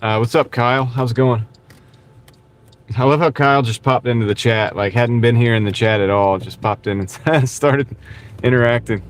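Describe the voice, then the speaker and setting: A man's voice: medium pitch, normal speed. A man chatting casually with viewers on a livestream while driving for deliveries, greeting people and chuckling often. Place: inside a car on the road. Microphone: small wireless clip-on mic. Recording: car road noise in background.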